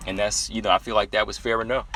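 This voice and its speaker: A young man casually breaking down a fight for his viewers, animated and talking straight to them as y'all. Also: on the low side, fast, slight background noise. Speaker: MMA analysis vlogger.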